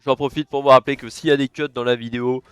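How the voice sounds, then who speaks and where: A young man with a cold and a stuffed-up nose, casually commentating his game, chatty and cursing, with sudden excited yelps. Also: mid-range voice, rapid-fire, clean tone, no room sound. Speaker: gaming YouTuber.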